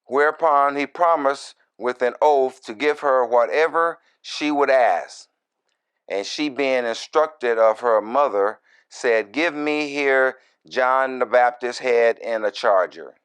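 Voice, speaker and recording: A man who is a minister reads scripture verse by verse and explains it in deliberate, broken short phrases with folksy, informal asides. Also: mid-range voice, a bit bright, clear, resonant tone. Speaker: minister, Bible teacher.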